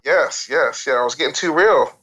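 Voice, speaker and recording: A man's voice: fairly high pitch, moderate pace, highs cut off, background noise. An American man talking as an opinionated guest on a casual talk show, emphatic and repeating phrases for effect like a storyteller. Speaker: interview guest.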